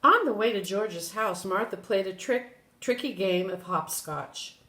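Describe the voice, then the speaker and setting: An older woman reading a children's story aloud in an expressive way, with brief asides. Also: medium pitch, unhurried. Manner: expressive, animated. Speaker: storybook reader. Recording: roomy echo.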